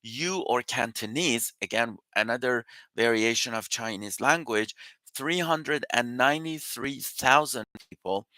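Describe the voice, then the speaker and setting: A man whose first language is Persian, hosting a live news and Q&A session as an immigration consultant. His voice is bright and forceful. He walks through facts and numbers steadily, and the sound is close and dry. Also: medium pitch, measured pace, dry recording. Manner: explanatory, steady walkthrough of figures.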